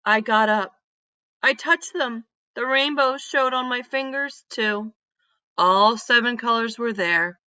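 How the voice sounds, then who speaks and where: A woman narrates a children's story with deliberate expression. Her voice is bright and clearly projected, at a middle pitch. She takes her time over the sentences, and the sound is close and dry. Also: no echo. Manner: deliberate, animated reading with emphatic exclamations. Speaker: read-aloud storyteller.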